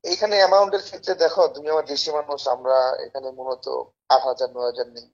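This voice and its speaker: A Bangladeshi man making an informal phone sales pitch in a pushy, persuasive, repetitive way. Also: lively intonation, fast talker, muffled recording. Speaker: caller pitching a service as a tout.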